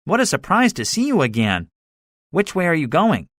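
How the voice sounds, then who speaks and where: A man's voice: a little high, wildly swooping pitch, normal speed, a bit bright, dry recording, pitched above the performer's own voice, full character voice. A man voicing a cynical, boastful soldier survivor in a dramatized story, speaking emphatically with a swaggering manner. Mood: surprised, eager. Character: cynical, boastful male soldier, excitable survivor with grand schemes. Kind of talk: dramatized audiobook dialogue.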